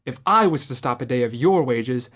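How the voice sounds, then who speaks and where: A man's voice: medium pitch, lively intonation, moderate pace, fairly bright tone. A man playing a stingy, grumbling old male miser in a student radio drama, curt and scornful, barking orders. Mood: grudging.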